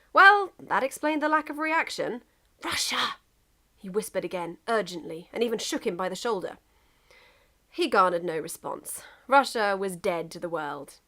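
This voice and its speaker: A woman reading a scripted story aloud as an expressive narrator. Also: mid-range voice. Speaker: narrator, fan fiction reader.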